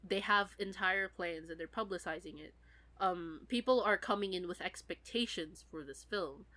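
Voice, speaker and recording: A woman's voice: on the low side, normal speed, sharp tone. A woman who is sick, chatting casually as a podcast co-host. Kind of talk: casual chat.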